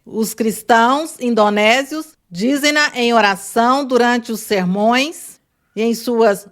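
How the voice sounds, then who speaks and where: A woman interpreter reading a translated question aloud in a steady, measured way. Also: a little high.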